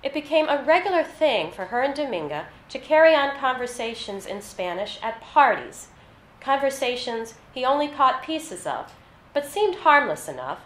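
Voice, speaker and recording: Woman reading fiction in an echoey room. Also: high voice. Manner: measured, scripted narration with read-out dialogue. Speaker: author reading short fiction aloud. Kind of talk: literary reading at a live launch event. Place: live reading in an echoey venue. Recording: roomy echo, faint background noise.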